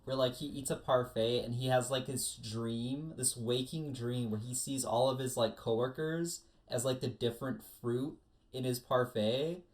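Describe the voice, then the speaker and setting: A man co-hosting a podcast, describing things casually with animated, emphatic storytelling. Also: mid-range voice, moderate pace, rough recording. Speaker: podcast co-host. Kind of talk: casual chat.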